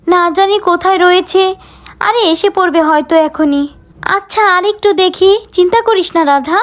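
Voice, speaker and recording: A woman's voice: very high-pitched, normal speed, voice pushed down. A woman voicing a chatty, fretful village mother doll in a children's story, in a conversational manner. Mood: worried.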